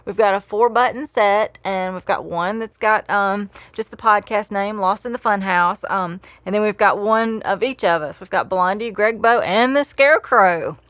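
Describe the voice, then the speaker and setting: Woman, middle-aged, casual podcast co-host, giggly delivery, mid-range pitch, dry room-free recording. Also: moderate pace, hardly any pauses, fairly bright tone, dry recording.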